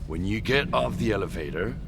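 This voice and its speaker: Gravelly, weary veteran stalker leader. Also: male voice, fairly low pitch, expressive, fairly quick, background noise. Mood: weary, briefing. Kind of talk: video game cutscene acting. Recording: loud music over the voice.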